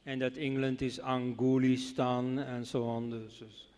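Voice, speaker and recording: A man's voice: mid-range voice, level tone, a little mellow. Dutch-native man answering questions in a hall. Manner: measured, frequent "you know", dismissive asides. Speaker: guest speaker answering audience questions. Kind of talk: lecture Q&A.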